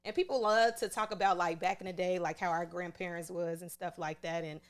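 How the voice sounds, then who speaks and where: Relaxed low-voiced woman radio personality. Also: brisk. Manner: relaxed, laid-back, soft fillers. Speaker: radio personality, podcast guest. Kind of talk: casual chat.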